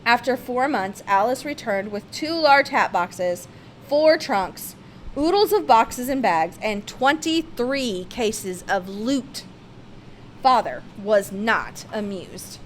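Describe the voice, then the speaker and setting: A woman's voice: on the high side, animated, slow pace, slight background noise. A woman reads a children's picture-book biography aloud with theatrical, emphatic expression. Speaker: storybook reader.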